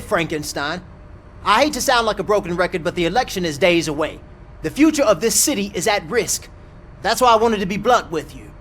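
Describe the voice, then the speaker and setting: Scheming male politician for a game, insistent and higher-pitched. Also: fairly bright tone, a little hiss or hum. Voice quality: persuasive, insistent. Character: pushy male mayor candidate, scheming. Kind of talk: video game dialogue.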